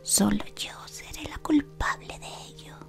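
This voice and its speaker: A woman in her thirties whispering an ASMR roleplay as a devoted servant of a dark lord, softly and deferentially, close to the mic. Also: a little slow, faint music behind. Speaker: ASMR creator. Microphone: close to camera.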